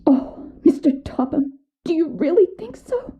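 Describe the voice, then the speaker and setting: An actress playing a gullible, excitable woman taking lessons from a psychic tutor, eager and breathless. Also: high-pitched, measured pace. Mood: excited. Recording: tinny phone-like game audio.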